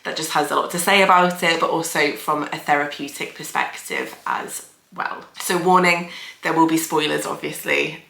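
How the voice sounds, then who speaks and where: Low, gravelly woman with nasal tone. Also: animated, moderate pace, bright, forceful tone, echoey room. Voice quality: nasal from hay fever. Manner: chatty, thoughtful, many fillers. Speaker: therapist and channel host. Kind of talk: casual video commentary.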